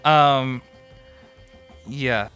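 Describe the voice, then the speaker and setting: A man who is a bassist guest on a podcast, talking hesitantly with many fillers and hedges. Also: extremely slow, fairly bright tone, clean tone, faint music behind. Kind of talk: casual conversation.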